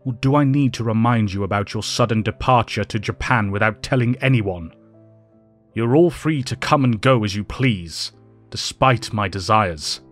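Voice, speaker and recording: A man playing a grave, principled headmaster in an audio drama, formal and measured. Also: lowish voice, faint music behind, natural voice. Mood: grave, regretful.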